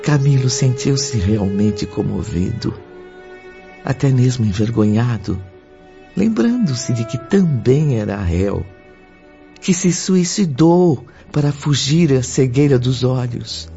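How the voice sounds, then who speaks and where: A man's voice: medium pitch, expressive, slow pace, dark tone. A man narrating a radio drama as a solemn storyteller, with music behind him. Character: solemn male storyteller of a spiritist tale. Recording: dull lo-fi audio with music. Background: clear background music.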